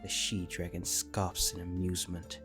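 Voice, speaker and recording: A man's voice: low voice, completely flat delivery, normal speed. A man reading a scripted second-person fantasy story as its narrator. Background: faint music.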